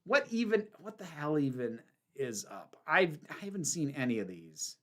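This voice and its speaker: A woman's voice: deep voice, very animated, moderate pace. A woman hosting a casual livestream, reading out chat questions and answering candidly, with frequent ums and restarts. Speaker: livestream host.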